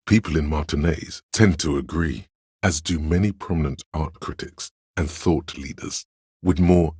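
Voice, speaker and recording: A man voicing a wry, grandiloquent, erudite inner voice of trivia in a video game, lecturing in measured scripted narration. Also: very deep voice, slightly rough, no room sound, clean studio sound, full character voice.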